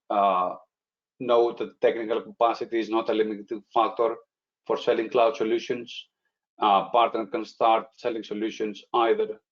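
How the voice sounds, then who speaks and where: A man's voice: lowish voice, highs cut off. A man hosting a business webinar, speaking hesitantly with many "uh" fillers. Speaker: webinar host. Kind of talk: webinar presentation.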